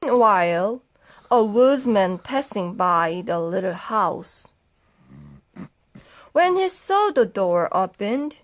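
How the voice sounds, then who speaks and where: A woman narrating a fairy tale for children as a storyteller, in an expressive and deliberate manner. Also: mid-range voice.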